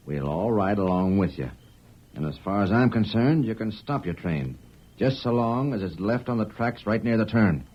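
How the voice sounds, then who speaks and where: An actor playing a bossy, menacing gang leader in an old radio western, with gruff, folksy outlaw speech. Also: male voice, fairly low pitch, lively intonation, moderate pace. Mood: threatening. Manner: drawling, folksy outlaw speech with taunting threats. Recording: muffled, noisy old radio recording.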